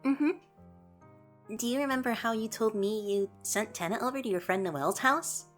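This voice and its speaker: A woman voicing a gentle, earnest young prince who explains things patiently and is eager to help, in an audio drama. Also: fairly high pitch, moderate pace, soft background music, lightly acted. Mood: eager, modest. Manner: soft-hearted, patiently explaining.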